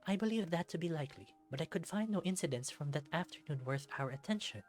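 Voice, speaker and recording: A man voicing a calm, formal superhero leader with deliberate, measured wording for a visual novel. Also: a little high, pitched above the performer's own voice, natural voice.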